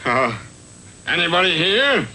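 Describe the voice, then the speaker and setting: A man voicing a grouchy, blustering Papa Bear in a vintage cartoon, grumbling in a gruff, folksy way. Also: sits high, sing-song, a little slow, pushed and bright, exaggerated voice. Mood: indignant. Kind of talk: vintage animated cartoon acting.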